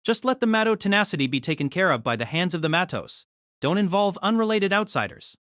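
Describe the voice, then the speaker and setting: Casting: male actor for embittered rebellious son, fairly high voice, swinging intonation, intense dramatic audiobook confrontation. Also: sing-song, fast talker, a bit bright, no room sound, studio quality. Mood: scornful. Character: defiant, bitter younger man confronting his evil father.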